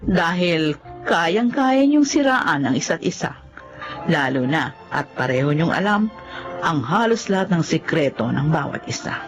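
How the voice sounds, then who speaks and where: Animated, bitter older woman. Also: sits low, unhurried, faint music behind. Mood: bitter, reflective. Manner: indignant, dramatic. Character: bitter, stubborn older woman nursing a decades-long grudge.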